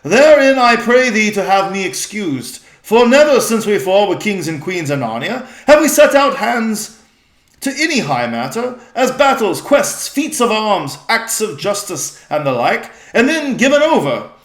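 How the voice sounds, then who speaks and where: A proud, determined high king from a storybook, put on by a man reading aloud. He declaims forcefully in old-fashioned phrases with a lively, raised pitch. The voice echoes in a roomy space. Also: measured pace, full character voice. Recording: roomy echo.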